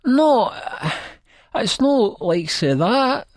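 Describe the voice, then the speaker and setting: A man's voice: very high-pitched, big pitch swings, slow pace, no echo, studio quality, pitched above the performer's own voice, full character voice. A man playing a wasted, nervous young Scottish man in an audiobook, slurring, stammering and pleading.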